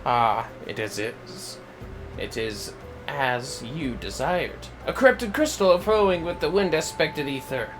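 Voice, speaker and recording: A man's voice: a little high, lively intonation, measured pace, over music. A man voicing a fussy, boastful scholar in a video game, with pompous, wordy, theatrical delivery. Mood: pompous, pondering. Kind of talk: reading game dialogue aloud in character.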